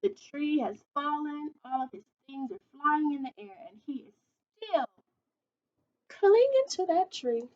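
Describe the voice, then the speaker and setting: High-pitched young woman reading aloud, very animated. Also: measured pace, lo-fi sound. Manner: dramatic, stretching out words, checking in with listeners. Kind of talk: expressive picture-book read-aloud with questions to children. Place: small indoor playroom.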